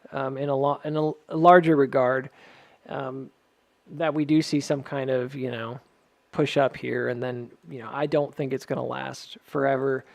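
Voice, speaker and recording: A man's voice: mid-range voice, gravelly, dry recording. A man giving casual, unscripted market commentary as a host, hedging and thinking aloud as he checks in with his listeners. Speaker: host of a stock chart analysis video.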